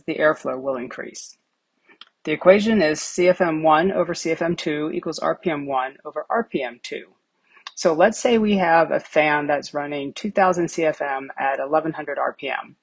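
A woman's voice: low voice, normal speed, husky, dry recording. A middle-aged woman who hosts and teaches an HVAC lesson, explaining equations step by step over a whiteboard. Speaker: HVAC instructor.

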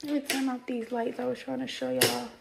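A woman in her early twenties talks into a phone held at arm's length, chatty and slangy. Her voice sits a bit high, and she jumps into squeals when excited. A faint hiss runs underneath. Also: brisk, creaky. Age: early 20s. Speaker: vlogger. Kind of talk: casual vlog chat to camera. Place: apartment rooms, then parked car and outdoor parking lot.

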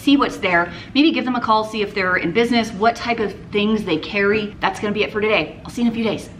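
A woman's voice: medium pitch, a bit bright. A woman in her thirties vlogging from her home kitchen, talking casually to a handheld camera as she walks through her groceries and prices. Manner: chatty, upbeat, walks through items and prices. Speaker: family vlogger. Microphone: handheld camera, held close.

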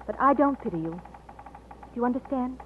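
An actress playing a tender but bold young bride-to-be in an old radio romance, with a gentle, theatrical delivery. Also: female voice, fairly high pitch, lively intonation, moderate pace, natural voice. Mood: firm, tender. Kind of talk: 1940s radio acting. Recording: tinny, noisy old radio recording.

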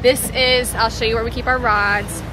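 A woman's voice: fairly high pitch, steady tone, moderate pace, background noise. A young American woman hosting a vlog, talking casually and enthusiastically to the camera. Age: young adult. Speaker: fishing vlog host. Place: in a car, outdoors and on a boat deck.

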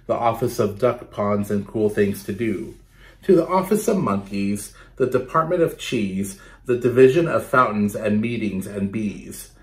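A man in his thirties reading a picture book aloud for children, expressively, pausing to explain and ask questions. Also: mid-range voice. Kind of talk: children's storytime read-aloud with asides and questions to young listeners. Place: small bedroom indoors.

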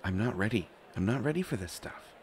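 A man's voice: fairly low pitch, lively intonation, a little fast, slight background noise, lightly acted. A man playing an anxious, stammering young prince who is reluctant to become king, in a roleplay audio drama. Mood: anxious dread. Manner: flustered, hesitant, stammering, sighing. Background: faint music.

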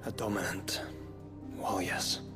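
Low-voiced stern, learned man. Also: fairly even intonation, takes it slowly, over music. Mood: grim. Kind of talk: formal video game drama acting.

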